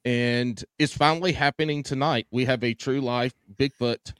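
A man's voice: medium pitch, fairly even intonation, moderate pace, a bit bright, clear, resonant tone, dry recording. A man co-hosting a casual podcast while congested with a stuffy nose, with a wry, teasing manner. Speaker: podcast co-host. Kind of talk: casual chat.

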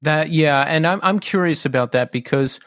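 A man's voice: a little high, normal speed, fairly bright tone, no echo, studio quality. A man hosting a podcast interview, chatty and self-deprecating, with nervous energy. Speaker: podcast host.

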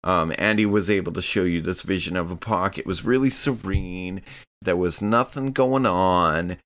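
A man's voice: deep voice, moderate pace, fairly bright tone. A man casually narrating his character's actions in a tabletop game, in a loose, rambling way.

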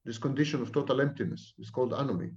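A man's voice: mid-range voice, animated, rapid-fire, a little mellow. Emphatic lecturing man, roomy dull sound. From Israel. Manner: emphatic, repetitive, sweeping declarations. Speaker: psychology professor guest. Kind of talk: lecturing interview answers.

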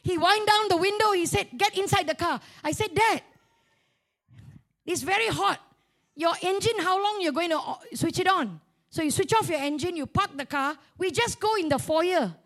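High-pitched Malaysian woman preacher. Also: moderate pace. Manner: animated, colloquial, humorous, with local particles like lah and ah. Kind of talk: storytelling sermon with acted-out dialogue.

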